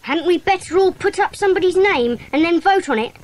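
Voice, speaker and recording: A woman's voice: very high-pitched, lively intonation, a little fast. A woman playing a loyal, outspoken and indignant schoolchild in a dramatised children's audiobook.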